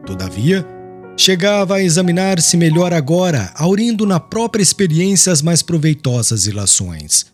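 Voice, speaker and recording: A man narrating a literary audiobook in a solemn, expressive, scripted manner. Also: on the high side, normal speed. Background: music.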